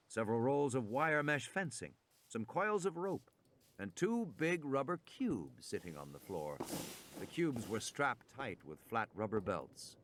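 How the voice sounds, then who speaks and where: A man reading a suspense novel as a narrator, with measured, enunciated dramatic delivery. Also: mid-range voice, fairly bright tone. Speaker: audiobook narrator.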